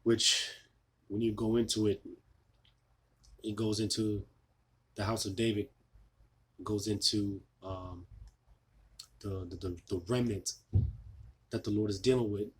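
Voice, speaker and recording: A man losing his voice, giving an informal scripture sermon, halting with many fillers and restarts. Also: on the low side, level tone, breathy edge. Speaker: preacher.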